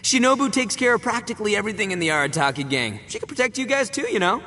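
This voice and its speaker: An actor voicing a boastful, rowdy male oni gang boss in a video game, brash and quick to laugh. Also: sits high, lively intonation, slight background noise. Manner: brash, loud, laughs a lot. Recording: game audio with long reverb.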